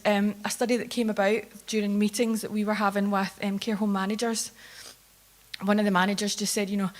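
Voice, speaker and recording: A woman researcher giving a plain-spoken conference presentation, steady but with filler ums. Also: mid-range voice. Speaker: care home researcher. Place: conference hall.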